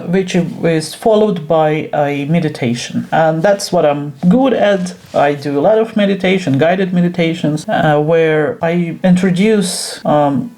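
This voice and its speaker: A woman with a Russian accent talks alone in her home work room in a casual vlog. Her voice is mellow and warm. She pauses often and sounds unsure of her English. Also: deep voice. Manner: nervous, hesitant, frequent pauses. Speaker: esoteric teacher. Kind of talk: personal vlog monologue.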